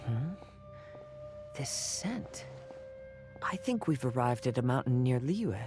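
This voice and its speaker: Casting: observant young traveler, low calm voice with lively turns, fantasy game, music underneath. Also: female voice, slow, full character voice. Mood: calm, curious.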